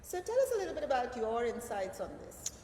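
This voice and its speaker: A woman's voice: on the high side, sing-song, quick pace, warm tone. A woman hosting a casual conversational interview in an agreeable, encouraging way. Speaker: interview host. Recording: echoey reverberant room with background noise.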